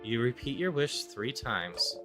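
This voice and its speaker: A man voicing a hesitant, defensive young traveler in a video game, speaking in a halting, drawn-out, unsure way. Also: medium pitch, big pitch swings. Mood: halting, earnest. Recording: music behind the voice.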